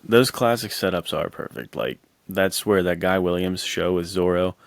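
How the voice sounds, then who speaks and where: Low-voiced man in slangy, bro-like chat. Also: normal speed, vocal fry, no echo. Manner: slangy, bro-like, acts out quotes playfully. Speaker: podcast co-host. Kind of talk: casual animated storytelling chat.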